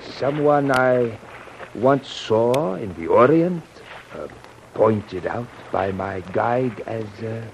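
An actor playing a probing, suspicious and courteous ship passenger in a theatrical, formal 1930s radio serial style. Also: male voice, mid-range voice, animated, takes it slowly, gravelly, lo-fi sound. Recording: noisy band-limited old radio recording.

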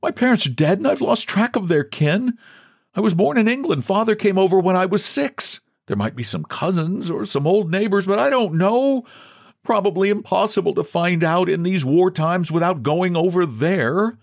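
A worn-out, desperate man pleads in a raised, wavering voice, as a male narrator puts him on. He sounds plaintive and helpless, his pitch rising and falling. The sound is dry and close. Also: animated, moderate pace, creaky, no room sound, exaggerated voice. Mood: pleading. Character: desperate, weary man who has lost everything.

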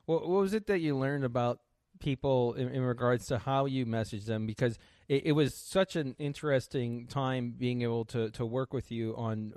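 A man's voice: medium pitch, darkish tone, no echo, clean studio sound. A man hosting an interview, asking long, casual questions with frequent stammered repeats. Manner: halting, stammering, repeats words as he builds questions. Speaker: interview host.

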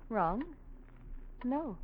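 An actress playing a subdued, troubled young wife in a 1940s radio drama, quiet and hesitant. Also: female voice, on the high side, animated, very slow. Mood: troubled, withdrawn. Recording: dull noisy old radio recording.

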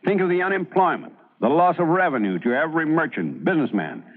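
An American man playing a determined, crusading small-town newspaper editor in an old-time radio drama, earnest and forceful, given to speeches. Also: a little high, lively intonation, fast talker. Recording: muffled vintage radio recording.

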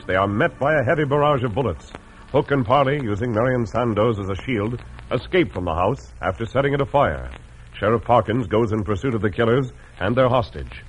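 A man's voice: mid-range voice, animated, a little fast, slightly rough. A man with an American accent narrating a radio adventure serial as announcer, in a dramatic scripted old-time style. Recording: muffled old radio recording. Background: music.

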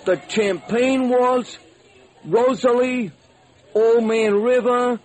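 High-pitched eager, gullible radio sidekick. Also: male voice, slow pace. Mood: proud, cheerful. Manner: folksy, excitable, uneducated slangy speech. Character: eager, gullible, good-hearted ex-burglar diner owner, male. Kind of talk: old-time radio comic sidekick acting. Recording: slightly noisy old radio recording.

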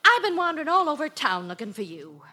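An exasperated, loving wife from 1940s radio comedy. Her high, bright voice swings widely in pitch as she speaks in an emphatic, nagging, dramatic way. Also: very animated, fast, a little hiss or hum, exaggerated voice. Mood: indignant scolding. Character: exasperated, scolding but loving housewife. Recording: old radio show recording.

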